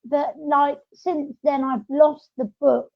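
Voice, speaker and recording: A young woman chatting casually as a podcast host, chatty and quick to agree. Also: high voice, steady tone, vocal fry. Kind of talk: casual chat. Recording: dull, lo-fi audio.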